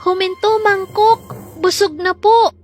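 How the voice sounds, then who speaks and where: A woman's voice: very high voice, steady tone, a little slow, soft background music, pitched above the performer's own voice. A woman voicing a bossy, forgetful big sister in a children's fairy tale, exclaiming and scolding. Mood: alarmed. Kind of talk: children's storybook acting.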